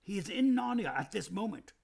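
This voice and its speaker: A proud, kindly male beaver from a storybook, put on by a male reader. The voice is rough and strained, with a husky gravel to it. It rises high and swings widely whenever he insists on a point. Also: sing-song, a little fast, a little mellow, heavily acted. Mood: earnest, emphatic.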